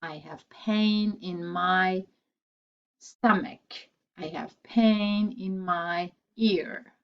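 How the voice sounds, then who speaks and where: A woman teaching English vocabulary, repeating each phrase slowly and carefully for learners. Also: lowish voice, dull audio. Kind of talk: slow, deliberate vocabulary lesson.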